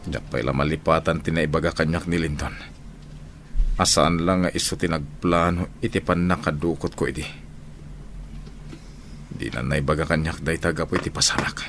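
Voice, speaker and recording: An extremely low, creaky male voice broods and schemes in an Ilocano radio drama monologue. The recording is clearly noisy. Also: fairly even intonation, moderate pace, pauses often, fairly bright tone, full character voice. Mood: brooding.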